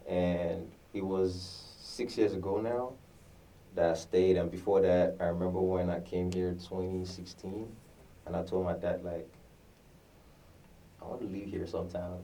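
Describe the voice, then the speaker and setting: Young guy chatting in an interview, low dark voice, laughs a lot, mic kinda far off. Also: unhurried, a little hiss or hum. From Nigeria. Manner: relaxed and chatty, laughs often. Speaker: musician guest. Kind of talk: casual interview.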